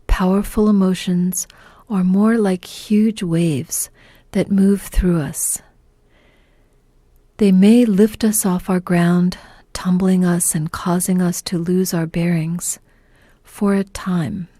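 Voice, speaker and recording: A woman guides a meditation at a very slow, unhurried pace. Her voice is mellow, soft and breathy, often close to a whisper. She sounds calm and gentle, recorded close and dry. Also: lowish voice, dry recording. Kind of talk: guided meditation, scripted narration.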